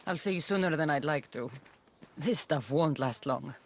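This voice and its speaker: A woman's voice: sits low, normal speed, slight background noise. A woman playing a weary but tender young mercenary mother in a video game cutscene, dry and affectionate. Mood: dry, tired.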